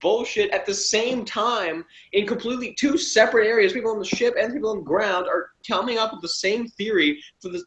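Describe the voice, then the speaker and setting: A man's voice: high voice, fairly quick, hardly any pauses, a bit bright. A man giving blunt, dismissive criticism in a casual panel review chat. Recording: echoey, roomy sound.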